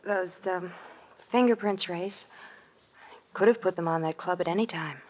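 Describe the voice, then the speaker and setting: An actress playing a cool, scheming glamorous murder suspect in an old radio drama. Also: female voice, on the low side, unhurried, lightly acted. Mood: cornered. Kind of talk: 1940s-50s radio drama acting. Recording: noisy, crackly vintage radio recording.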